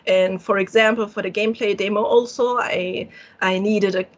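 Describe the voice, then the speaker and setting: A woman with a German accent, a solo game developer answering interview questions in halting English, often restarting her sentences. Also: medium pitch, steady tone, muffled recording. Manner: halting, searching for words, frequent restarts.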